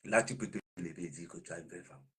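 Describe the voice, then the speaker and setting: A man chatting casually on a live stream, speaking in a flowery, flattering way. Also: very fast, slightly rough. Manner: flowery, sweet-talking, bursts of high laughter.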